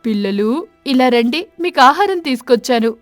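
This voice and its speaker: A woman's voice: high-pitched, expressive, normal speed, no room sound, soft background music. Woman as a firm crow father. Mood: eager.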